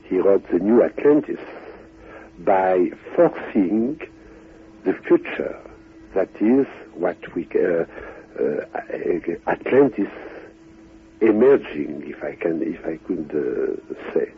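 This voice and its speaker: Very husky, slow Frenchman on old radio. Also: low voice, animated. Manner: halting, deliberate, in short phrases. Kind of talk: unscripted expository talk. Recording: tinny, band-limited radio recording.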